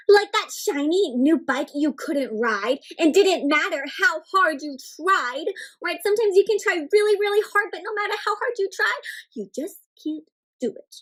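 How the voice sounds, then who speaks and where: A high-voiced woman reads a picture book aloud for children. She tells it expressively, with exaggerated gasps, and often turns to her young listeners with direct questions. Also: expressive, moderate pace, a bit bright. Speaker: children's read-aloud host.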